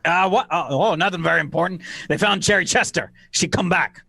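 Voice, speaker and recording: A man's voice: sits high, fairly quick, vocal fry, pushed and bright, dry recording, exaggerated voice. A man playing an affable, slightly baffled friend in a comic radio play, solicitous and hesitant.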